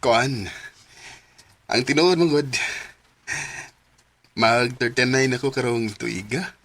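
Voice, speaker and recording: An actor with a Visayan Filipino accent playing a soft-spoken, coaxing suitor in his late thirties in a radio drama. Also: medium pitch, takes it slowly, dry recording. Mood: awkward, friendly.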